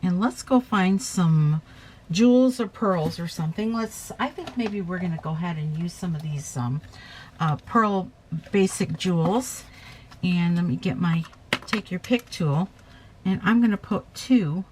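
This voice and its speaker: Woman host of a home craft tutorial, chatty and self-correcting, a bit hoarse, lower voice, faint background hiss. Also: measured pace, a little mellow. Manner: chatty, talks while working, self-correcting. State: slightly hoarse, coming down with a cold. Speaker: paper craft demonstrator.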